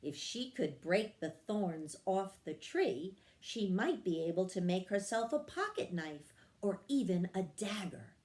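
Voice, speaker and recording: A woman reading a children's story aloud in a measured, expressive storytelling manner. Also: mid-range voice. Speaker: story reader. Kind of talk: scripted children's storybook narration.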